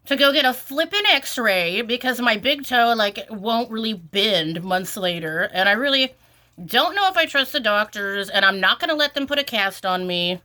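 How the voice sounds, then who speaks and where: A woman's voice: fairly high pitch, expressive, moderate pace, pushed and bright. A sniffly woman rambling through an exasperated casual vlog rant, full of "like" fillers. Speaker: vlogger.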